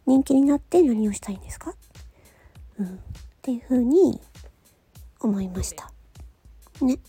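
A woman with a high, very animated voice that is mellow and breathy. She talks casually on her own in a questioning, analytical way, as if reasoning things out with the listener. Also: unhurried, faint music behind. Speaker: host of a solo audio show, amateur voice actor. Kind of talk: casual solo chat.

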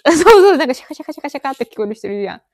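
A woman's voice: high-pitched, sing-song, very fast, dry recording, clean studio sound. A woman in her thirties chatting casually as a podcast co-host. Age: 30s. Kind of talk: casual chat.

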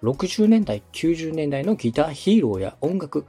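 A man with a mellow, slightly husky voice explains things in an orderly, polite coaching manner. His pitch moves animatedly as he summarizes each point, with faint music behind him. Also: big pitch swings, normal speed. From Osaka, Japan. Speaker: podcast host, radio director coaching a podcaster. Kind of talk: structured, explanatory coaching talk.

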